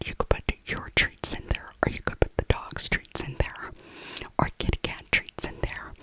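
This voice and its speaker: A woman speaking in a breathy whisper, narrating casually in ASMR style and softly repeating her phrases. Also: poor audio. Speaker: ASMR video creator narrating a shopping trip.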